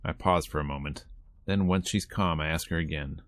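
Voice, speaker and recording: A man's voice: extremely low pitch, fairly even intonation, moderate pace. A man streaming a visual novel, reading its text aloud and breaking off into casual, wry asides. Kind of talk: reading game dialogue aloud with casual commentary.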